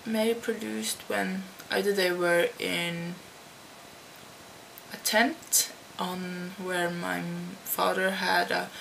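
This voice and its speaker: A Dutch-born teenage girl chatting to her webcam at home, answering tag questions slowly with many restarts. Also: lowish voice, little pitch movement, creaky, a bit bright, rough recording. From Netherlands. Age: teens. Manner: slow and halting, with many restarts. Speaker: vlogger. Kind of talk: casual talk to camera answering a tag. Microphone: computer webcam. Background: faint hum of computer fan.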